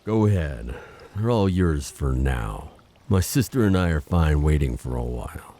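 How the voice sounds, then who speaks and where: A man playing a grim, vengeful monster ruler in an audio drama, speaking in a solemn, formal, bitter way with a husky voice. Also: very deep voice, animated, unhurried, mellow, full character voice. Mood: stern. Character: grim, vengeful monster royal with a lost kingdom. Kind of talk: scripted audio drama acting.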